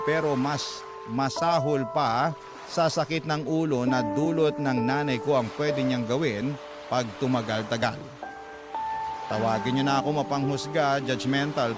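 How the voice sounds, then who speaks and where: Male radio drama narrator reading a first-person story, medium pitch, prominent music behind. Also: normal speed. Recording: very loud music bed.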